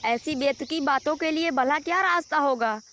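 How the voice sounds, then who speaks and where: A woman's voice: sits high, normal speed, fairly bright tone. A woman voicing a blunt, admonishing forest bird in a Hindi cartoon moral story. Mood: sharp reproach. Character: blunt, reproachful forest bird who advises others. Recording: faint outdoor insect noise.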